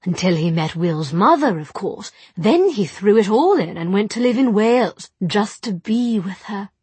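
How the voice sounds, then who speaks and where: A woman's voice: medium pitch, expressive, normal speed, darkish tone, breathy edge, no room sound. A woman voicing an amused, worldly male warlock in an audiobook, speaking in a wry and knowing way. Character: immortal male warlock, amused, worldly, sardonic dinner guest. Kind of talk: audiobook character voice.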